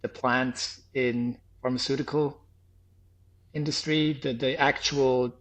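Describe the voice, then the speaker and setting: A man in his thirties from Switzerland, speaking with a Swiss accent that carries a faint trace of New Zealand, answering interview questions thoughtfully, with many restarts and pauses. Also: mid-range voice, fairly even intonation, a bit bright, rough recording. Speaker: podcast guest, former health tech startup founder.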